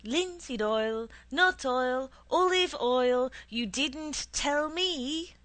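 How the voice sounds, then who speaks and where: A woman voicing an indignant, easily flustered young boy in a storybook, with a shrill edge. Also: big pitch swings, slow, husky, no echo, full character voice.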